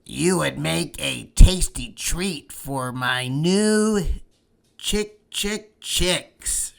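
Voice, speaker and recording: A man's voice: a little high, animated, extremely slow, vocal fry, a bit bright, heavily acted. Man voicing a hungry, clucking red hen. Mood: coaxing.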